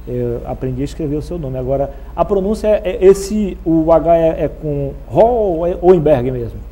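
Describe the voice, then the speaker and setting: A Brazilian man with a husky voice, hosting a television talk show in a chatty presenting style. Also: on the high side, expressive, normal speed, mellow, low-quality recording. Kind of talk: live show presenting with sponsor read and chatty interviewing.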